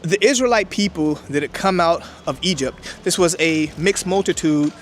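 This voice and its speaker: A man's voice: a little high, moderate pace, slight background noise. A man whose first language is Hebrew, explaining history emphatically in an interview outdoors. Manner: emphatic, lecturing, with many "right?" checks. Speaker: interview guest.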